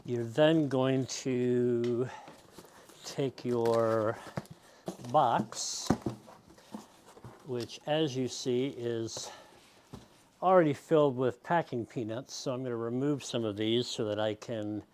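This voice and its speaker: Guy doing a how-to, talks real slow and patient, casual, some noise and clicking on the recording. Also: mid-range voice, darkish tone. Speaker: demonstrator in a how-to video. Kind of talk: casual step-by-step tutorial talk.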